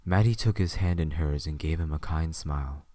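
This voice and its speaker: A man's voice: very deep voice, moderate pace, warm tone, no room sound, clean studio sound. A man reading scripted third-person story narration as the narrator of an audio drama.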